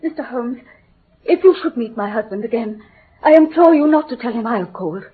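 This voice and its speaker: A woman's voice: a little high, lively intonation, a little fast, a little hiss or hum, close to an everyday voice. An actress playing an anxious, proud upper-class English lady in radio drama, formal and imploring while holding back emotion. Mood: anxious, pleading. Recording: muffled old radio broadcast.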